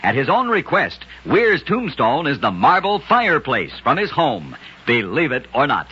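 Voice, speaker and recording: A man's voice: mid-range voice, wildly swooping pitch, normal speed, bright, forceful tone, breathy edge. A man narrating scripted curiosities for an old-time radio show, with a dramatic, emphatic storyteller delivery. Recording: dull, noisy vintage radio recording.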